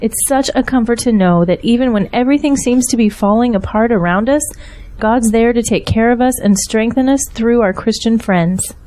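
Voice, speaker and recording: Woman playing a mellow-voiced, weary, candid mother. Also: on the high side, moderate pace, a little hiss or hum, natural voice, low-quality recording. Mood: grateful. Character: weary, candid, grateful mother facing hardship. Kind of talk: audio drama acting.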